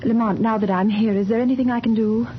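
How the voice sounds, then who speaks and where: Woman playing a high-voiced, anxious sleuth's companion. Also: fairly even intonation, fast, lightly acted. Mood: worried, eager. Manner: worried, eager, questioning. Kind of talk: 1930s radio drama acting. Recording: muffled, hissy 1930s radio recording.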